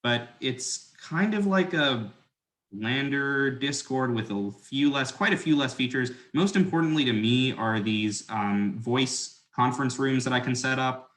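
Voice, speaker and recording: A man's voice: medium pitch, measured pace, a bit bright. Man explaining casually in echoey room.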